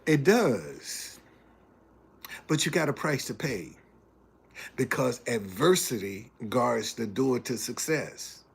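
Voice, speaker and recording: Older man, 70s, folksy livestream host, unhurried and gravelly. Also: mid-range voice, very animated. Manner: folksy, preacherly, reads chat messages aloud. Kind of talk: casual livestream talk and preaching.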